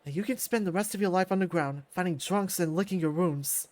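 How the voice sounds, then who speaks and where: A man voicing a confident old fighter who recruits with measured, self-assured speech in a visual novel. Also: high voice, a little mellow, no echo, lightly acted.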